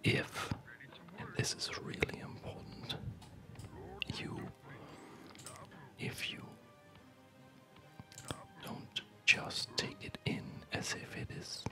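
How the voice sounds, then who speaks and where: An ASMR gaming host speaking in a soft unvoiced whisper, rambling in a reflective, hesitant way, close to the microphone. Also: takes it slowly, long pauses. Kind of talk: ASMR, unscripted rambling over gameplay. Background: music.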